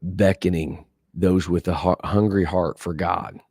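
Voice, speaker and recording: A man with a very low, deep voice hosts a podcast with upbeat, friendly chatter. He sounds relaxed and welcoming. The recording is dry and close. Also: measured pace, no room sound. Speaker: podcast host. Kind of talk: casual podcast chat.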